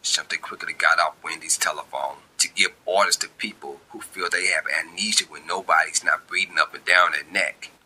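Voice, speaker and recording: A man's voice: deep voice, fairly even intonation, moderate pace, hardly any pauses, pushed and bright, husky, poor audio. A man narrating a scripted short story of his own in informal vernacular phrasing. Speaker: amateur author reading own story.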